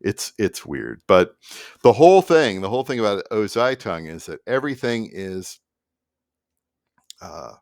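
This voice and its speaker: A man in his fifties talking casually and haltingly to camera at a desk, explaining things in an informal, digressive way. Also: deep voice, no room sound, studio quality. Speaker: musician and vlogger. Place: small indoor room at a desk.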